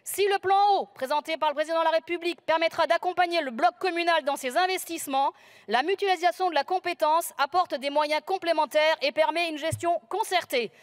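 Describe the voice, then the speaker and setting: Female politician, very high voice, reading a formal debate speech firmly. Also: a little fast. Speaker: politician speaking in a parliamentary debate.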